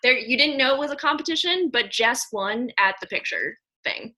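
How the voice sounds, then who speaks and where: Woman, American accent, bright forceful voice, podcast host, effusive, affectionate, informal conversation. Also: high voice, animated, normal speed. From Nebraska, US. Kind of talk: casual conversational guest introductions.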